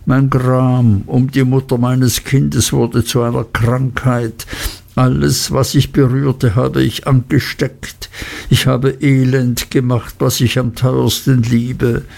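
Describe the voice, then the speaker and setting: A man's voice: mid-range voice, level tone, slow, creaky, a little mellow. A man voicing a despairing, guilt-ridden older male lawyer who talks in an anguished, self-reproaching way.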